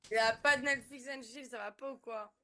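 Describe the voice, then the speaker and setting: A woman's voice: fairly high pitch, steady tone, fairly quick, pushed and bright. Woman in her twenties, blunt and offhand, slangy, on a video call. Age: 20s. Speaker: video chat stranger. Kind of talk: casual chat.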